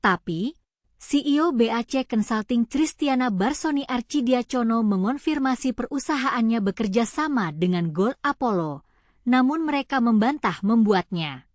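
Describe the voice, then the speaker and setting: High-voiced woman narrating news. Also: animated, moderate pace, no echo, clean studio sound.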